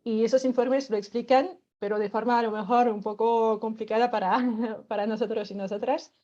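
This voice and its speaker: A woman's voice: on the high side, fairly even intonation, moderate pace, dark tone, dull audio. A woman from France speaking with a French accent, patiently explaining a climate workshop as a radio guest, with many filler words and light laughs.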